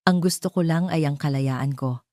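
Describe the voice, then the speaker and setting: A calm young wife who has made up her mind, played by a woman storyteller. Her voice is low and soft-toned, steady and firm, in audio drama style. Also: moderate pace, dry recording, studio quality, close to an everyday voice. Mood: quiet defiance. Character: calm, resolute young wife breaking free.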